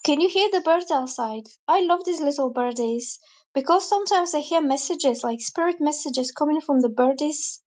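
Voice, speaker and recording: A woman's voice: high voice, moderate pace, a little mellow. A woman from Bulgaria, giving a chatty, rambling live-stream tarot reading. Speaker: tarot reader.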